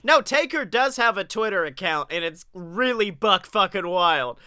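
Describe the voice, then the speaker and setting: A young man co-hosting a podcast in casual comedic chat, animated, joking and freely swearing. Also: sits high, normal speed, clear, resonant tone, no room sound, studio quality. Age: young adult. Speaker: podcast co-host. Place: bedroom-like room at home, video call.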